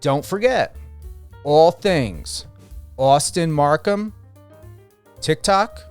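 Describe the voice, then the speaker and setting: A man hosting a radio interview in an unscripted style, rephrasing his way through questions in a halting manner. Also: on the high side, animated, clear, resonant tone, soft background music. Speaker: radio show host.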